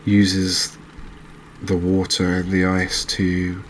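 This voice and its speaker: A man with an English accent giving soft-spoken ASMR game commentary in a hushed, unhurried, hesitant manner. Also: sits low, completely flat delivery, slight background noise. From England. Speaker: gaming video host.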